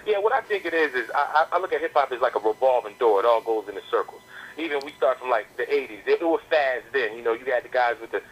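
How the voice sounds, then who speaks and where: Fast-talking male rapper on a noisy phone line. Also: mid-range voice, expressive, fairly bright tone. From the southern United States. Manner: slangy, repeats words as he builds a point. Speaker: interview guest, indie rapper. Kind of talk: casual phone interview talk. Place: on a landline phone call. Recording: noisy landline phone call.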